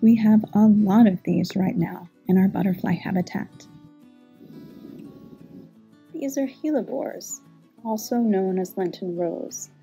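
A middle-aged woman, a garden manager, narrating a scripted plant tour in an informative, measured way. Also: mid-range voice, vocal fry, mellow, faint music behind.

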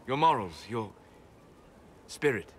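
A man playing a calm, reserved, scholarly elf mage in a video game, speaking formally in deliberate, measured phrases. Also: on the low side, slight background noise. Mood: earnest, thoughtful. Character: calm, scholarly, reserved elven mage, admiring yet condescending. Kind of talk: video game dialogue acting.

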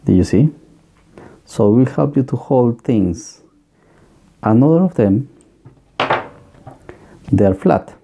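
Gravelly, dark-voiced man explaining workshop gear. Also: medium pitch, animated. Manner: halting, plain advice with many fillers and restarts. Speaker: electronics workshop host. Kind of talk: casual hands-on equipment walkthrough. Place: electronics workbench room, indoors.

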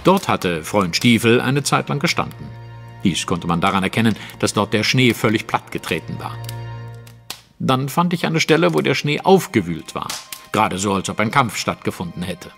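An actor playing a confident, analytical detective in a German audio drama, setting out a case in articulate, precise, dramatic storytelling. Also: male voice, lowish voice, expressive, normal speed, creaky, fairly bright tone, faint music behind. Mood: analytical, assured. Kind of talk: German audio drama acting, narrative monologue.

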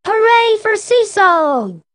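A woman's voice: very high voice, big pitch swings, takes it slowly, fairly bright tone, no room sound, clean studio sound, exaggerated voice. A woman voicing a silly, playful little male animal in a children's learning game. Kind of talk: children's educational game acting.

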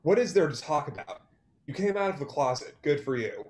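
A man's voice: on the high side, very animated, fairly quick, darkish tone. A young man reading the part of a sarcastic, impatient music performer in a play. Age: young adult. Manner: curt, sarcastic. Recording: echoey room on video call.

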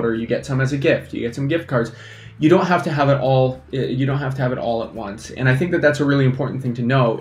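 A young man, a fly fishing instructor, giving energetic casual advice to camera at a desk microphone, often checking in with "right?". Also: medium pitch, roomy sound. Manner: energetic, animated hand gestures, lots of "right?". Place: small indoor studio against a plain backdrop. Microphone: desk microphone in front.

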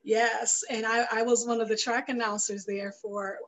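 A middle-aged woman with a fairly high voice speaks as an interview guest. She tells her own story in a conversational way, indoors on a video call with a headset. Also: level tone, normal speed, a bit bright, low-quality recording. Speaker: filmmaker and radio show host being interviewed.

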